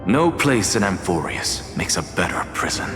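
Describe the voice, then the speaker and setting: A low-voiced, scheming scholar demigod in reverb. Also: male voice, very animated. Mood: coolly resolute. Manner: measured, theatrical, self-assured. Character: proud, theatrical scholar demigod of reason, male, calmly scheming. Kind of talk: dramatic game voice acting. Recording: echoey hall reverb with loud music. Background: loud music.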